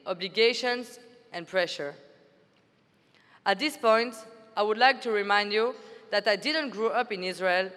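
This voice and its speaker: A woman's voice: on the high side, fairly bright tone. Young woman officer from belgium reading her speech, earnest and formal, big echoey hall. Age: 20s. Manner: earnest, formal, read-aloud delivery. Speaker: army officer speaking at a conference. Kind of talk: prepared speech. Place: large conference hall. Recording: hall reverb from a venue PA.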